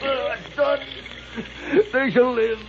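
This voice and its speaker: An actor playing a desperate, guilt-stricken dying tyrant in a theatrical, halting 1930s radio melodrama style. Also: male voice, extremely high pitch, steady tone. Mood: weak, dying. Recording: noisy muffled 1930s radio recording.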